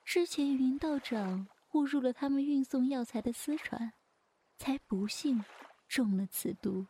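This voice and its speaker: A woman playing a deferential female lieutenant of a martial sect, formal and measured, in a Chinese wuxia audio drama. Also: sits high, dark tone, a little hiss or hum. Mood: dutiful, formal.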